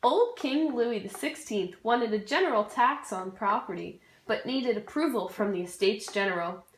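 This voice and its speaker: Young woman, slightly high voice, student presenter, scripted puppet-show narration, informal, echoey room. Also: animated, normal speed, a little hiss or hum.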